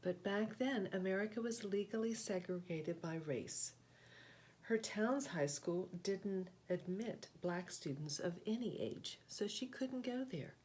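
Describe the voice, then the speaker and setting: A woman reading a children's picture book aloud, with deliberate, expressive phrasing and short explanatory asides. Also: mid-range voice. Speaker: read-aloud host, storyteller.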